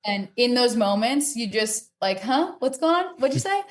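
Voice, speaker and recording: A woman's voice: a little high, big pitch swings, normal speed. A woman talking casually as an interview guest, telling stories and acting out bits of dialogue. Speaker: podcast guest, cornhole player.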